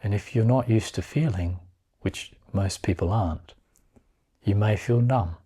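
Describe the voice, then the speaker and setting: Slow, husky man guiding a meditation. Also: lowish voice. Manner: calm, unhurried phrases with long pauses. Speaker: meditation guide. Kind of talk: guided meditation.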